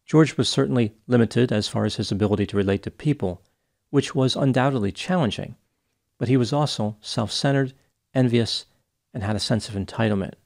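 A man in his thirties giving a scripted, clinical case analysis in a measured, even delivery, close to a studio microphone. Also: medium pitch, creaky. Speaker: psychology educator. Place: small home studio, indoors. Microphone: close studio mic on boom arm.

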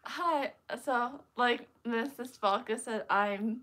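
A young woman from China, a guest on a livestream, speaking hesitantly with many pauses and fillers. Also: a little high, a bit bright. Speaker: guest and chat moderator. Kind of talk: casual talk, reading chat comments. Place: living room with bookshelves, indoors.

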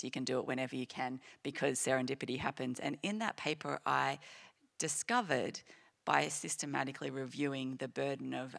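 A woman's voice: very deep voice, creaky, fairly bright tone. An Australian woman speaking as a panelist on a stage, earnest and reflective, restarting her sentences as she thinks. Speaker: panelist, clinician-scientist. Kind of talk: panel discussion answers. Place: lecture hall stage.

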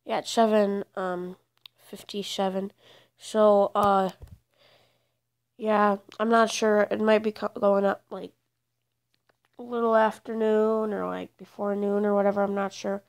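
A woman's voice: mid-range voice, flat delivery, a little slow, long pauses, smooth. A woman with a stuffed-up, congested voice hosting a gaming channel, giving rambling, hesitant game commentary full of fillers. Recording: loud background noise.

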